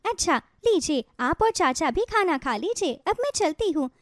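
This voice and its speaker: An actress playing a sweet, dutiful, modest young daughter-in-law in a cartoon moral story. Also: female voice, very high-pitched, very animated, a little slow, dry recording, studio quality, heavily acted. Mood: cheerful, polite.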